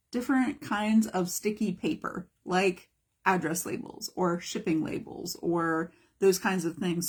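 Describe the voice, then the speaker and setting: A chatty woman art teacher talks through her craft demo live from her home studio, rambling a little and stopping to greet viewers. Her voice is hoarse and breathy, with an even, mid-height tone. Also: medium pitch. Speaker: mixed media art teacher. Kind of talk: casual live craft demonstration.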